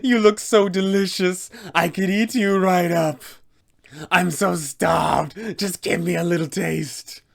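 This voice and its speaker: A man voicing a starving, desperate young vampire spawn from a fantasy game, pleading and menacing in drawn-out screamed outbursts. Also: high-pitched, steady tone, gravelly, voice pushed up, full character voice. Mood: hungry, pleading. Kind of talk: theatrical fantasy game villain acting.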